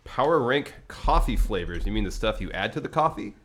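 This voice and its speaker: A man's voice: on the low side, sing-song, fairly quick. A man co-hosting a casual livestream, slightly congested with a lingering cough, reading viewer chat in an easygoing way. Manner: easygoing, reads chat aloud. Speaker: podcast co-host.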